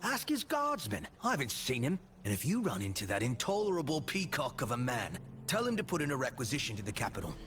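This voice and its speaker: Grumbling, animated townsman. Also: mid-range voice, fast talker, a little hiss or hum. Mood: harried, irritated. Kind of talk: video game voice acting.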